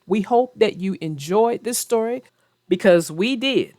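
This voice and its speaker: Animated woman storyteller, dry close sound. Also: medium pitch, measured pace, no echo. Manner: theatrical, addresses young readers directly. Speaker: children's story narrator and host. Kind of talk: expressive read-aloud and chat for young children.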